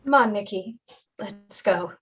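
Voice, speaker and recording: A woman's voice: mid-range voice, measured pace, a bit bright, breathy edge. A woman acting a careful, caring mother in a staged play reading. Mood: subdued.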